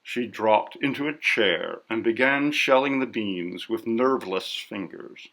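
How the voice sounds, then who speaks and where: A man reading a story as scripted narration in a measured, literary delivery. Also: fairly low pitch, lively intonation, fairly bright tone, poor audio. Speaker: audiobook narrator.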